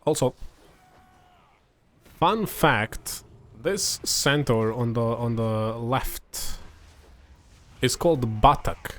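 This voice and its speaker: Young Serbian man, native Serbian speaker, easygoing game commentator, mid-pitched voice, loose and chatty delivery. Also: extremely slow, long pauses. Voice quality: relaxed, chatty, many fillers and restarts. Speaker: gameplay commentator. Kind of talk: casual gameplay commentary.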